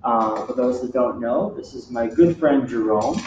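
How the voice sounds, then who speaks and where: A man's voice: mid-range voice, unhurried. A man hosting a casual interview, asking short questions and giving friendly, encouraging replies. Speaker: interviewer, host. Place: gym. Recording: echoey room, distant muffled mic, faint hiss.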